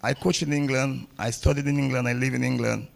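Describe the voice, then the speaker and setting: A man's voice: mid-range voice, fairly even intonation, a little fast, creaky. A man giving a public ceremony speech as a table tennis coach, blunt and repeating phrases for emphasis.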